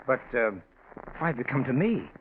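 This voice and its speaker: An actor playing an earnest, struggling young lawyer, polite and eager but halting, in 1940s radio drama style. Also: male voice, mid-range voice, big pitch swings, natural voice. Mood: eager, puzzled. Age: young adult. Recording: tinny, noisy 1940s radio recording.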